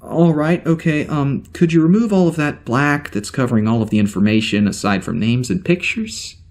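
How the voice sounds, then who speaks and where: A man's voice: medium pitch, lightly acted. A man playing an uneasy amnesiac spaceship security officer, rambling hesitantly through a spoken log in a science fiction audio drama. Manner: halting, hesitant, rambling, wry.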